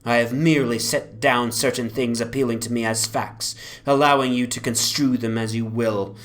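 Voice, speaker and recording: A man giving a dramatic, grave and formal narration of a gothic horror story in measured phrases. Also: medium pitch, fairly even intonation, a bit bright.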